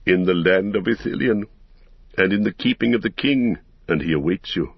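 A man voicing a wise, kindly old wizard in a storybook, speaking in a measured, formal, gently ceremonious way. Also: sits low, expressive, vocal fry, husky, no room sound, dull audio, full character voice. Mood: gentle, solemn.

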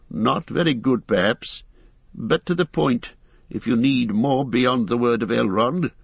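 A man voicing a feisty little old hobbit, lively and impatient, reciting verse. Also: medium pitch, creaky, husky, dry recording, muffled recording, pitched above the performer's own voice, heavily acted. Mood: tart.

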